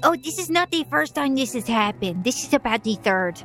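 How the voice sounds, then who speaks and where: A woman's voice: sits high, expressive, a little fast, fairly bright tone, faint music behind. A woman playing her character in a tabletop game, cheerful and reassuring.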